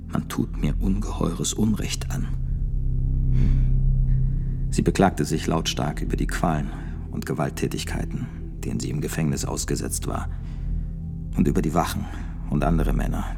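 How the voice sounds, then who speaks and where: Ein Schauspieler spielt in einem Hörspiel einen ernsten mittelalterlichen Mönch, der langsam und feierlich als Zeuge aussagt. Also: male voice, low-pitched, gravelly. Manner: slow, solemn, deliberate recounting. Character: grave medieval friar testifying as witness to an execution. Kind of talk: radio play testimony.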